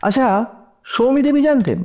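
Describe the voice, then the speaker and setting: A man playing a shrewd, composed defense lawyer in an audio drama, questioning deliberately with pauses for effect. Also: very high-pitched, animated, dark tone. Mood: probing, composed. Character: shrewd male defense lawyer cross-examining in court, probing, composed. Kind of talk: audio drama courtroom acting.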